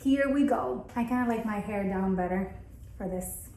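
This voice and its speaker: A woman's voice: mid-range voice, normal speed, very noisy. A 38-year-old woman from Argentina, a native Spanish speaker, talking casually and candidly to viewers as an upbeat vlog host. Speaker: content creator and video host. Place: bathroom at home, indoors. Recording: echoey indoor room.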